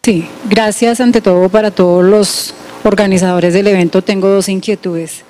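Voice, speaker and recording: Mellow, even-toned woman. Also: medium pitch, normal speed, slight background noise. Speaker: audience member asking questions. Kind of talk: formal question at a conference.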